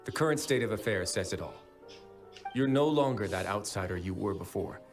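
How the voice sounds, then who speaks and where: Low, composed, shrewd gentleman overseer. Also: lively intonation, faint music behind. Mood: gently persuasive. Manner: deliberate, articulate, assured. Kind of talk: video game voice acting.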